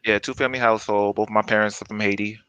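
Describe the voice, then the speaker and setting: Low-voiced Brooklyn man, halting. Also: fairly even intonation, pushed and bright, clean tone, no room sound, muffled recording. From Brooklyn, New York. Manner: halting, many fillers and restarts. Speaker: guest. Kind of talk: casual interview chat.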